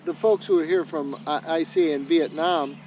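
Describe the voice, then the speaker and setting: A man who works as a senior associate and lead trainer, explaining his program in a measured way with frequent pauses. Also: fairly high pitch, fairly even intonation, background noise. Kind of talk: explanatory documentary interview.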